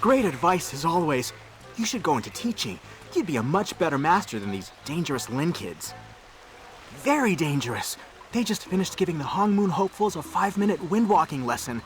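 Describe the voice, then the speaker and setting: A man's voice: a little high, sing-song, a little fast, soft background music. A man playing an earnest, timid young man in a video game, apologetic, fretful and given to complaining. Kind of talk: video game character acting.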